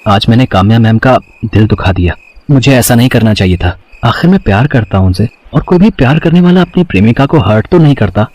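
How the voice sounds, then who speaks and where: A man's voice: mid-range voice, animated, fairly quick, a little mellow, no echo, close to an everyday voice. Man playing a lovestruck young student, close dry sound. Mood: apologetic. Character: lovestruck young male student, earnest and pleading. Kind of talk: dramatized audio story acting.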